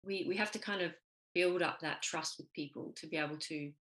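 A woman's voice: low voice, fairly quick. A woman hosting a conversation, affirming and encouraging her guest as she talks. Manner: warm, affirming, laughs easily. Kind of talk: casual conversation.

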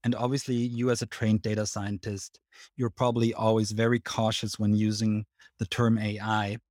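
A man from Switzerland with a Swiss accent, hosting an interview and asking polite, measured questions. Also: fairly low pitch, flat delivery, a little mellow, dry recording, studio quality. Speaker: podcast host. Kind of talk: interviewing a guest.

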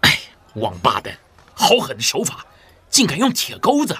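A man's voice: fairly high pitch, huge pitch swings, normal speed, sharp tone, breathy edge, full character voice. An actor playing a big, hearty, plain-spoken man in a Mandarin audio drama, with an emphatic manner. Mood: indignant.

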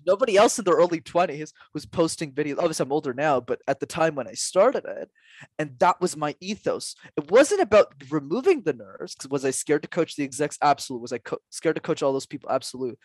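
A man's voice: on the high side, expressive, fast, no room sound. A man in his twenties, a public speaking coach answering interview questions in an earnest, emphatic way, full of rhetorical questions. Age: 20s.

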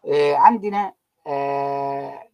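A male lecturer teaches over a video call. He pauses on drawn-out fillers and leaves sentences open for his students to finish. His voice sits at an ordinary middle pitch. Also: warm tone, clear, resonant tone, lo-fi sound. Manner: keeps quizzing students with unfinished sentences, many drawn-out fillers. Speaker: university lecturer. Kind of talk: online class lecture.

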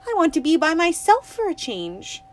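A woman's voice: extremely high pitch, wildly swooping pitch, moderate pace, a little hiss or hum, voice pushed up, exaggerated voice. A woman reading a story aloud voices a restless, spirited young girl who talks to a toad, by turns pleading and defiant. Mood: wistful.

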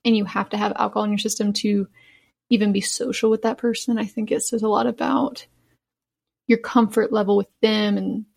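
A woman's voice: medium pitch, little pitch movement, brisk, vocal fry, a little mellow. A woman speaking as a podcast guest, reflecting casually and thoughtfully, often framing her points as personal opinion.

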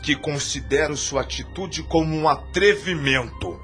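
An actor with a Brazilian accent playing an arrogant, wicked friar in a radio drama, speaking in a formal, accusing manner. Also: male voice, on the high side, unhurried, bright, forceful tone, faint music behind. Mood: indignant, haughty. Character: arrogant, wicked friar newly sent as convent chaplain.

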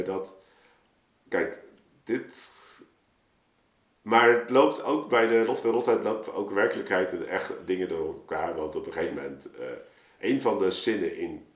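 A man talking casually and reflectively as a guest storyteller, halting and thinking aloud, recorded in an echoey room. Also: fairly low pitch. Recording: roomy, echoing space.

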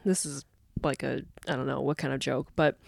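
A woman's voice: extremely low pitch, steady tone, moderate pace, gravelly, darkish tone, no echo, studio quality. An American woman co-hosting a podcast with casual, chatty, informal and jokey banter. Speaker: podcast co-host.

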